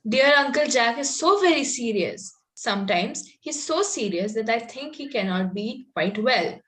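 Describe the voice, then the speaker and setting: Woman acting young Victorian girl, fairly high voice, artless, romantic, pert, politely contrary, stage comedy read-through. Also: expressive, moderate pace, a bit bright. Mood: artless, earnest.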